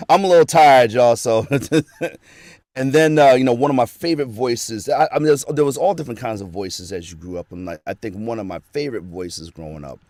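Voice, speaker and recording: A man's voice: medium pitch, normal speed, a little mellow, dry recording, studio quality. A middle-aged man, a voice actor, chatting casually as an interview guest, telling nostalgic stories in a rambling way with many restarts.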